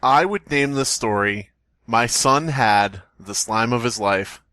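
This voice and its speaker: A man's voice: mid-range voice, slow pace, pushed and bright, dry recording. A man hosting a comedy podcast, chatting casually and riffing on a story with mock-dramatic jokes. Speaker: podcast host.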